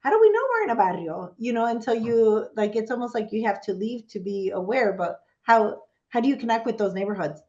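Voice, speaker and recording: A woman's voice: fairly high pitch, lively intonation, fairly quick, few pauses, a little mellow. Chatty woman with a muffled voice. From Chicago, USA. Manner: chatty, rambling questions with many fillers. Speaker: podcast host. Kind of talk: casual podcast conversation. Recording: dull lo-fi sound.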